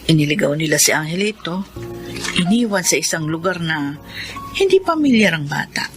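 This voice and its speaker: Low-voiced, solemn orphanage nun. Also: female voice, sing-song, moderate pace, music in the background. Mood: grave. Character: grave, concerned nun at an orphanage. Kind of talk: radio drama acting.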